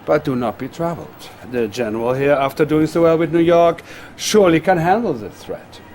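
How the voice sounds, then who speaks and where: An actor playing a flattering, scheming Soviet advisor in a game cutscene, persuasive and insinuating. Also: male voice, on the high side, normal speed, a little hiss or hum, close to an everyday voice. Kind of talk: video game cutscene acting.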